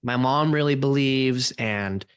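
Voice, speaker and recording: A man's voice: mid-range voice, unhurried, smooth, dry recording, lightly acted. A man playing an anxious, evasive young man in an amateur radio play, hesitant and defensive, often repeating himself. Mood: flustered, defensive.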